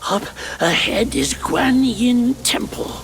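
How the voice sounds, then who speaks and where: An actor voicing a quirky, theatrical old mountain guardian spirit in a video game, creaky and given to drawn-out, excitable delivery. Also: male voice, very high voice, slight background noise, exaggerated voice. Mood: reverent, wistful. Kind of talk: theatrical game character acting.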